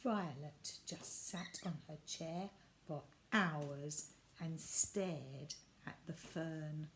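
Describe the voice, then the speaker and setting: A woman reading a children's storybook aloud as an expressive narrator. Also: deep voice, very slow. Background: added sound effects and faint noise.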